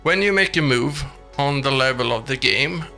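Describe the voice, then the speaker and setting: A man's voice: medium pitch, lively intonation, normal speed, gravelly, sharp tone. A man reading a scripted video game review as a voice-over. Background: background music.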